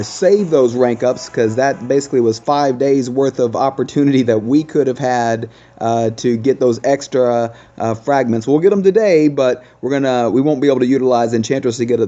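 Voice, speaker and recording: Man, casual gaming host, explanatory delivery, soft dark tone, dull recording with music. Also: mid-range voice, normal speed, hardly any pauses. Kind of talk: casual game commentary.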